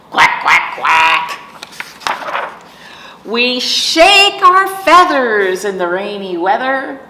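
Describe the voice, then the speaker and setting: A woman's voice: high voice, pushed and bright, pitched above the performer's own voice, exaggerated voice. A woman voicing a cheerful little duckling in a singsong, rhyming children's picture-book read-aloud. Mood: excited, playful. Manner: bouncy, singsong rhyming delivery. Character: cheerful little duckling who loves rainy weather and quacking. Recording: echoey reverberant room, faint background noise.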